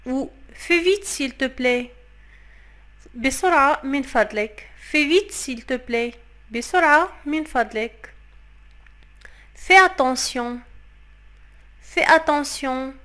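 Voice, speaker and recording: Bilingual woman teaching phrases, slow and clear. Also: sits high, fairly bright tone. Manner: says each French phrase slowly twice, then explains it quickly in Arabic. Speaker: French teacher. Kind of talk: phrase lesson for Arabic speakers.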